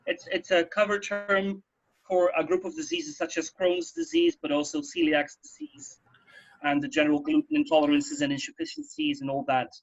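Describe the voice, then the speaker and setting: Male radio host, fairly high voice, explanatory casual talk, muffled sound. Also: expressive, normal speed. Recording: dull, muffled band-limited sound.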